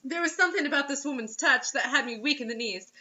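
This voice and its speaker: A woman's voice: high-pitched, fast, bright, forceful tone, lo-fi sound. A woman reading fiction aloud, giggly and often breaking off into incredulous joking asides. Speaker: reader and co-host mocking bad fiction.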